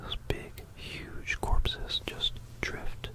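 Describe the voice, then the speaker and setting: An ASMR creator whispering about history in a hushed, rambling, musing way, close into a stereo microphone. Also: very slow. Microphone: close stereo binaural microphone.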